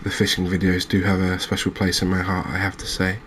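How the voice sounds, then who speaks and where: A man giving soft-spoken, calm and gentle ASMR commentary over a video game at an unhurried manner. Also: low-pitched, a little hiss or hum.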